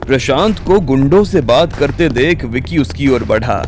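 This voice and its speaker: A man's voice: mid-range voice, lively intonation, normal speed, a little mellow, slight background noise. A man narrating a scripted audio drama story as its storyteller. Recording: music bed under the voice.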